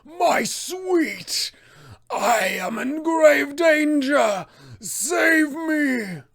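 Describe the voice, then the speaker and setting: A man voicing an insane old dwarf king villain for a tabletop game, with drawn-out, theatrical delivery. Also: extremely high pitch, expressive, gravelly, a bit bright, shouting, pitched above the performer's own voice, heavily acted. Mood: desperate pleading.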